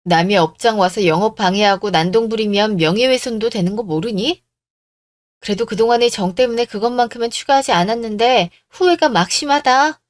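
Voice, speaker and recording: A woman's voice: on the high side, brisk, no room sound, clean studio sound, close to an everyday voice. A woman playing an indignant, firm young woman in a radio drama, scolding bluntly. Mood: scornful. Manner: blunt, scolding, rhetorical questions.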